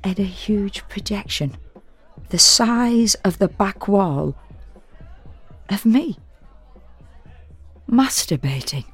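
A woman playing an exhausted, fretful woman who narrates a confessional audio drama monologue in an intimate, wry, deliberate way. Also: medium pitch, expressive, slightly rough, soft background music. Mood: uneasy, wry. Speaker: narrator and actor.

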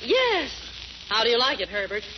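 High, swooping, bossy radio mother. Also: big pitch swings, normal speed. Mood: pleased, preening. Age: middle-aged. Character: vain, indignant, bossy mother in a sitcom. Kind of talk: 1940s radio comedy acting. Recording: muffled, noisy old radio broadcast.

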